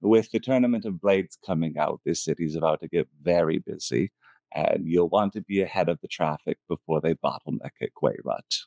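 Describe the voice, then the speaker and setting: A man narrating as game master in an easygoing, lively live tabletop game. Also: a bass voice, normal speed, creaky, no echo.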